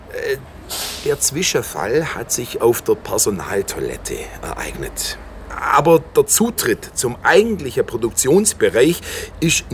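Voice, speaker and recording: An actor playing an evasive, officious slaughterhouse manager in a naturalistic radio drama, talking in formal, defensive corporate phrases. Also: male voice, medium pitch, slight background noise. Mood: nervous, officious.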